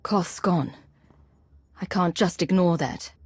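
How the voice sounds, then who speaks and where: An actress playing an earnest, idealistic commander in a video game, urgent and determined. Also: female voice, fairly low pitch, slow pace, a little mellow, slightly rough, no room sound, exaggerated voice.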